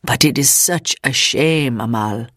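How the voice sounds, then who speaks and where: A solemn, stubborn man of faith from an audiobook, played by a female narrator. He speaks very slowly, low and formal, with grave weight on his words. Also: fairly bright tone, no echo, clean studio sound, full character voice. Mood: resigned. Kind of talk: audiobook character acting.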